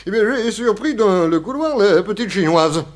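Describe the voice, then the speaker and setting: A man's voice: sits high, lively intonation, moderate pace. A man playing a nervous, gossipy, eager-to-please witness in a 1960s French radio mystery, stammering and repeating his words. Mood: flustered, eager.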